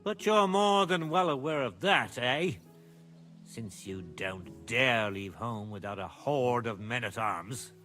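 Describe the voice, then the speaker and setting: A man's voice: mid-range voice, expressive, slow pace, pushed and bright, faint music behind. A man playing a commanding, sardonic middle-aged medieval lord and father in a dramatic video game.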